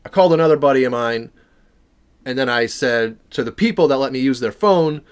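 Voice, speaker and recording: A man telling a personal story in a casual, animated way, acting out bits of dialogue as he goes. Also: medium pitch, a little fast, clear, resonant tone. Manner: acts out dialogue within the story.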